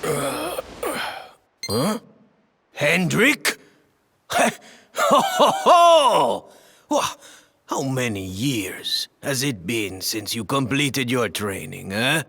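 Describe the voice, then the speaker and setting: An actor playing a proud, fiery old knight in a video game, with a gravelly, theatrical delivery. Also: male voice, big pitch swings, drawn-out words, long pauses, sharp tone, in a large hall, full character voice. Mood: jovial, fond. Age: older. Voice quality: gravelly, husky. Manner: booming, chuckling, grandly theatrical. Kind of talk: video game character acting.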